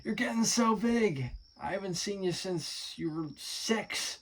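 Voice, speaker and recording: A man voicing an excitable eccentric uncle who is into magic, in a high, loud, gushing voice. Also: a little slow, heavily acted. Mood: excited.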